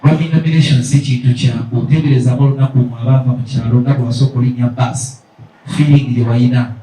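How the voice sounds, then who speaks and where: A man's voice: mid-range voice, fairly even intonation, quick pace, dark tone, slight background noise. A man hosting a press event, hyping up the guests and mixing Luganda with English. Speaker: event host. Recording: echoey hall from far off.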